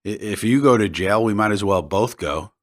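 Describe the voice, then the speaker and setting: Brief: male reader as a cold, sulky wife, low and flat, no bother to change his voice. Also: normal speed, lightly acted. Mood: resigned. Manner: flat, resigned. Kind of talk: audiobook character voice.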